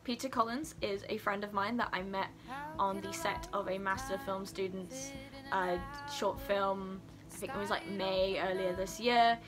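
A woman's voice: medium pitch, steady tone, normal speed, music in the background. A young adult woman chatting casually to camera as a student vlogger in a living room. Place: furnished living room indoors.

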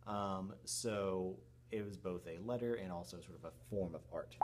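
A middle-aged man teaching history in an informal, halting, explanatory recorded lecture. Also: deep voice. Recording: clear background noise with hum.

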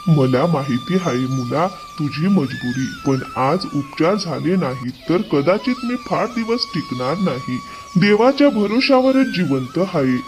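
Frail, earnest old villager played by a man, highish pitch with huge swings, music underneath. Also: huge pitch swings, unhurried, heavily acted. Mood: suffering, pleading. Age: older. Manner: plaintive, earnest, blessing others. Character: frail, pleading, grateful poor old man. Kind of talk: animated moral story acting. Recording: music behind the voice.

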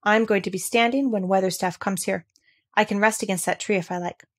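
Storybook role: haughty young master, a boy, commanding, sharp and proud, read by a woman. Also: medium pitch, fairly even intonation, a little fast, natural voice. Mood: proud, determined.

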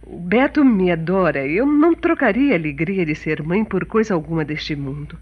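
An actress playing a warm-hearted, contented wife and mother in a radio soap, with a husky voice and Brazilian accent. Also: lowish voice, expressive, moderate pace, dull audio. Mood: earnest, tender. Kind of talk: radio soap opera acting.

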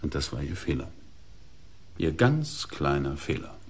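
A shrewd police inspector in a radio crime play. He is very deep and husky, making each point deliberately with dramatic rises and falls. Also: very animated, heavily acted. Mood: stern, accusing.

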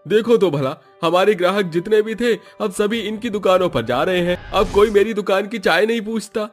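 A man's voice: high voice, moderate pace, faint music behind. A man voicing a resentful, nervous, scheming village shopkeeper in a cartoon, complaining in exclamations. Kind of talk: Hindi cartoon story acting.

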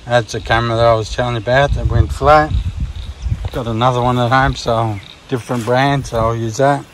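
A man's voice: medium pitch, a little fast, a little hiss or hum. Rambling Australian man outdoors. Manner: relaxed, rambling, many ums and restarts. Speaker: vlogger. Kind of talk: casual hiking gear walkthrough. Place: outdoors at a campsite by a river.

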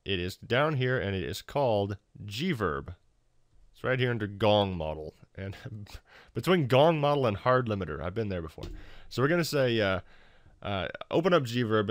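A man hosting a casual software tutorial in an informal, self-deprecating, hesitant way. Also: fairly low pitch, dry recording, studio quality. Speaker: tutorial host.

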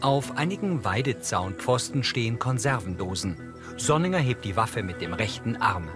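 A man narrates on-screen action as an audio describer, reading from a script. His voice has a medium pitch and sits over clearly audible music. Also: sing-song, normal speed.

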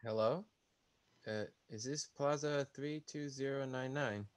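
Man playing telegraph clerk, medium pitch, formal, matter-of-fact, radio drama, dry recording. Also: unhurried, smooth, no echo, close to an everyday voice. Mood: businesslike. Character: businesslike male telegraph clerk reading a message. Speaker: actor in a student radio play. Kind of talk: radio thriller acting.

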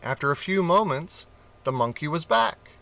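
A man reading a children's storybook aloud with gentle, expressive narration. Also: fairly high pitch, moderate pace. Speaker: audiobook reader.

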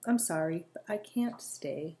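A woman voicing a gentle, soft-spoken male songbird in a storybook reading. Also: fairly low pitch, lively intonation, a little slow, slight background noise. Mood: wistful. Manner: soft and apologetic.

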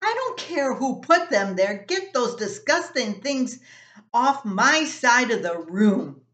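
A woman's voice: fairly high pitch, big pitch swings, takes it slowly, fairly bright tone, reverberant, voice pushed up, heavily acted. An older woman voicing an angry, bossy teenage older brother in a family story, scolding and bellowing.

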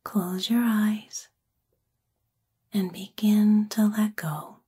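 A woman with a soft, breathy, whispery voice gently and soothingly guides a sleep meditation in an ASMR-like style. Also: medium pitch, steady tone. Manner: gentle, soothing, unhurried phrasing.

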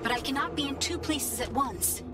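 A woman voicing an eager, formal ancient alien AI guide who talks in a fussy, precise way, over loud game music. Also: high-pitched, moderate pace, lightly acted. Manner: formal, fussy, easily excited.

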